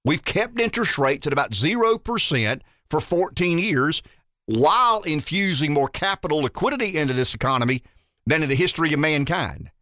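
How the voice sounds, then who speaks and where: A man's voice: on the high side, moderate pace, no echo, studio quality. A man in his fifties hosting a talk radio show, musing aloud and asking rhetorical questions. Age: 50s. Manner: animated, rambling, rhetorical questions.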